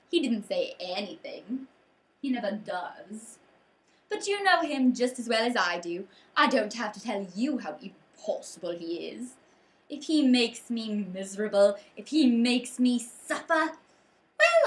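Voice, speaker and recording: A woman's voice: high-pitched, fairly bright tone, full character voice. A woman acting an overbearing, doting middle-aged queen mother in a comedic stage monologue, with a fussy, emphatic, theatrical delivery. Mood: aggrieved.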